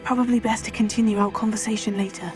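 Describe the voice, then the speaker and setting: A woman's voice: medium pitch, fairly even intonation, quick pace, darkish tone, lightly acted. An actress playing a composed, practical teenage witch, direct and inquisitive in fantasy game dialogue. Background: faint background music.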